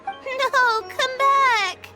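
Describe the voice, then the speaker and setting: A meek student girl from an anime game, high and girlish. She talks slowly and hesitantly, sounding timid and weary, with faint music underneath. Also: steady tone, heavily acted. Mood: flustered.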